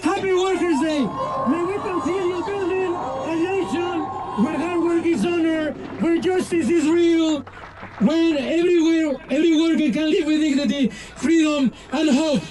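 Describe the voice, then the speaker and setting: High-voiced man giving an impassioned speech. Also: a little slow, a crowd in the background, low-quality recording. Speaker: rally speaker. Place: outdoor rally in a city square.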